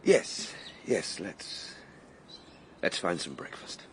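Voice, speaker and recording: An actor playing a tender, earnest Victorian gentleman time traveller in a radio drama, with a hushed, halting manner. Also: low voice, sing-song, a little hiss or hum, exaggerated voice.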